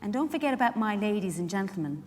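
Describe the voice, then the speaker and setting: A woman's voice: mid-range voice, sing-song, quick pace, darkish tone, lightly acted. An Irish woman actor playing a chatty, frank, wry carer in a theatrical monologue, putting pointed questions to her listeners. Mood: earnest, persuasive. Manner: conversational, pointed rhetorical questions. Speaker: actor in a dramatic reading. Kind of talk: theatrical monologue addressed to a live audience. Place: large indoor hall at a public event. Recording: echoey hall, live stage reading.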